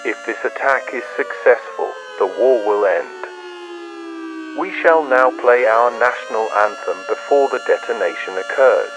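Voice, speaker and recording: A man's voice: mid-range voice, very animated, unhurried, background noise. A man playing a grave announcer reading a scripted emergency alert in a formal, commanding manner. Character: grave male emergency broadcast announcer in a fictional war.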